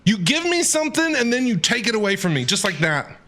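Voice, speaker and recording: A man's voice: high-pitched, very animated, fairly quick, bright, forceful tone. A man doing live game commentary as a streamer, dramatically grumbling back at the characters.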